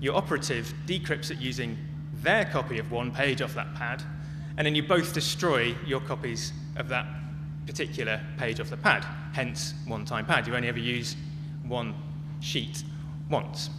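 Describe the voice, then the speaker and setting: A man giving a prepared talk as a conference speaker, phrasing it in short, measured, emphatic chunks. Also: medium pitch. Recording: long echoey reverb, clearly noisy with hum.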